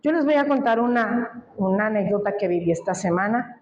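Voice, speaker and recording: A woman's voice: medium pitch, lively intonation, brisk, darkish tone, echoey room, muffled recording, slight background noise. A woman from Colombia preaching a sermon, speaking emphatically and asking the congregation questions. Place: church.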